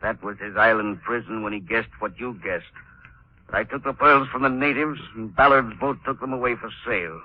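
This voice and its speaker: A man's voice: mid-range voice, brisk, lightly acted. An actor playing a menacing island witchman villain in a theatrical, gloating 1940s radio drama style. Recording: muffled 1940s radio recording.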